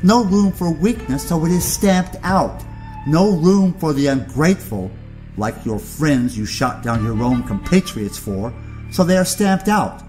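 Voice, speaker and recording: An actor playing a ruthless, contemptuous aristocratic uncle in an audio drama, speaking in a theatrical, condescending way. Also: male voice, on the high side, expressive, measured pace, darkish tone, faint music behind, rough recording. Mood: fiery, imperious.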